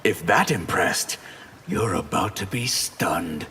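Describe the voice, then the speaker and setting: An actor playing a proud, sardonic sorcerer in a fighting game, delivering wry, haughty challenges. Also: male voice, low-pitched, expressive, slow. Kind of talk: video game fight dialogue. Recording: long reverb, noisy game audio.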